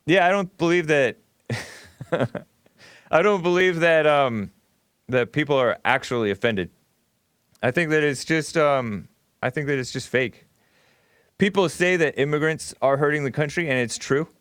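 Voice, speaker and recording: A man's voice: on the high side, moderate pace, pauses often, fairly bright tone, clean tone, dry recording, clean studio sound. A middle-aged man, co-host of a stream, talking in a casual, rambling monologue with many fillers and self-corrections.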